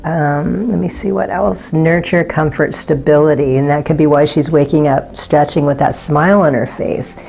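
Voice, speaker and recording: A woman with a low, warm, slightly raspy voice gives a tarot reading. She talks in a soft, reassuring way, pausing now and then to find the right word. Faint music plays underneath. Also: fairly even intonation, rough recording. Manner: soft, unhurried and gently reassuring, pausing to search for words. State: slightly raspy from not talking all day. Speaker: tarot card reader. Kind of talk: pick-a-card tarot reading.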